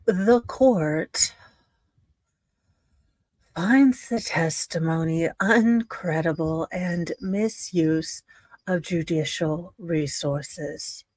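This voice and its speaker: A woman co-host reads aloud at an unhurried, halting pace. Her voice is slightly low and closely recorded with no room sound, and she adds casual, sarcastic remarks. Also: a little mellow, no echo. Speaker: livestream co-host. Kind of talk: halting reading aloud with casual joking asides.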